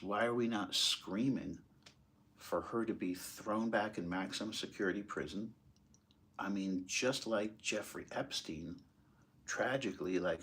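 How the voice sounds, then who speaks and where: An American man with a very low voice delivers impassioned commentary. His tone is husky and grainy. He talks in halting, emphatic phrases, as if working the argument out while he speaks. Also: fairly bright tone. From United States, moved there as a small child. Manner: halting, emphatic, rhetorical questions. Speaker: political commentator.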